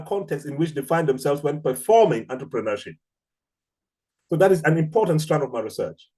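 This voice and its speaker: A middle-aged man with a Cameroonian accent giving an academic seminar lecture in a measured, deliberate, explanatory manner. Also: on the high side, darkish tone. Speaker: academic researcher, seminar speaker.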